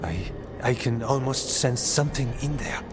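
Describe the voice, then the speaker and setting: A man plays an earnest scholar-warrior from a fantasy game. He speaks at a medium pitch, measured and modest, with a thoughtful hesitance. Faint music sits behind him. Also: lightly acted. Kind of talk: video game voice acting.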